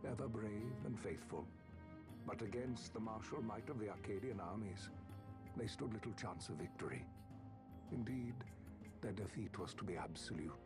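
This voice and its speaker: A man playing a grave, formal chronicler, narrating a fantasy game's history in measured, ornate phrases. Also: fairly low pitch, natural voice. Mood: solemn. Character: grave, formal male chronicler recounting a kingdom's fall. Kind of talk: scripted narration of a fantasy game cutscene. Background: orchestral game music.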